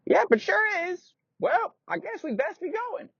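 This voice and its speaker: A man voicing an eager, slightly scared little boy in a chirpy, excitable way. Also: very high-pitched, unhurried, creaky, no echo, voice pushed up, full character voice. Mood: impressed. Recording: dull lo-fi recording.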